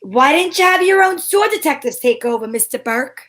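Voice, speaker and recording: A woman's voice: sits high, lively intonation, moderate pace, fairly bright tone. A woman playing a breezy, wisecracking private detective in an amateur old-time radio drama, wry and playful.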